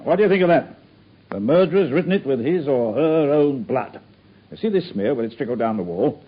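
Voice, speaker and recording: A man's voice: mid-range voice, animated, fairly quick, lightly acted. A man playing a proud, blustering police inspector who boasts eagerly about his finds in a radio drama. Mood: self-satisfied. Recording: dull lo-fi radio broadcast.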